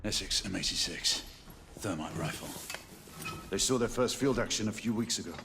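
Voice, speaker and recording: An actor playing a stern, seasoned British knight in a video game, terse and commanding. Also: male voice, on the low side, normal speed, background noise, close to an everyday voice. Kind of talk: dramatic video game cutscene acting. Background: music.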